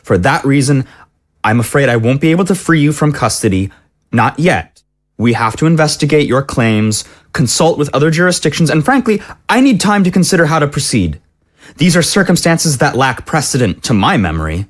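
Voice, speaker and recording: A man's voice: fairly high pitch, lively intonation, normal speed. A man playing a pompous, officious mayor. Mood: firm, officious. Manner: formal, wordy, pompous. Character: cautious, dismissive, officious male small-city mayor. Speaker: voice actor. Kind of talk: scripted audio drama acting.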